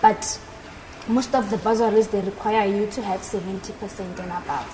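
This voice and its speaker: A young woman with a South African accent gives explanatory answers as an interview guest. Her voice sits at a middle pitch. There is clear noise in the background of the recording. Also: steady tone, normal speed. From South Africa, Northern Cape. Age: 20s. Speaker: interview guest, aircraft maintenance engineer. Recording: noticeable background noise at live event.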